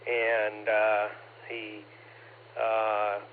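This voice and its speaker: A man's voice: lowish voice, monotone, extremely slow. A man in his late thirties from Ohio, chatting in a rambling way with many fillers over an amateur radio net. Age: 30s. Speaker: ham radio net operator. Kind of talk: casual radio chat. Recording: tinny two-way radio, faint hiss.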